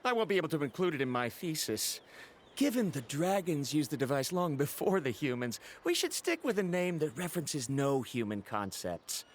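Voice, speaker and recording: A man's voice: fairly high pitch, big pitch swings, moderate pace, a little hiss or hum. An actor playing an earnest male researcher in a video game, explaining things in a formal, pedantic way. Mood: earnest, eager. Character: earnest scholarly male researcher of ancient dragons. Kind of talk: scripted video game dialogue.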